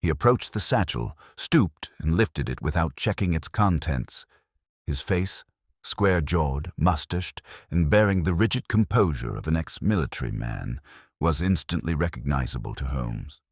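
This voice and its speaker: A man narrates a detective story with theatrical care. His voice is extremely deep and moves expressively through the scripted prose, with a dry sound free of room reverb. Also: animated, normal speed, breathy edge. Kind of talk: scripted dramatic audiobook narration.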